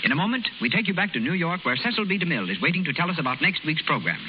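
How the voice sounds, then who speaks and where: A man's voice: fairly high pitch, sing-song, rapid-fire, slight background noise. A man reading scripted announcements in the style of 1930s radio. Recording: muffled 1930s radio recording.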